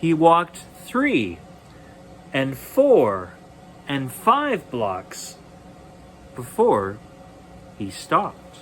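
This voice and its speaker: A man reading a children's bedtime story aloud expressively, with deliberate dramatic pauses. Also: on the high side, huge pitch swings, fairly bright tone, a little hiss or hum, rough recording.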